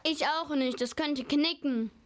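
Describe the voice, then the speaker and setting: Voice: sits high, measured pace. A young female performer playing a stubborn, loyal child in an amateur school radio play. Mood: indignant.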